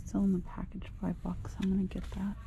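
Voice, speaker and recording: Hushed, whispering woman browsing an antique mall. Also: mid-range voice, monotone, brisk, gravelly, dull audio, noisy background. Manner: hushed, half-whispered remarks while browsing. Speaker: reseller vlogger. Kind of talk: whispered shopping vlog narration. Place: indoors in a large antique mall. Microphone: body-worn action camera.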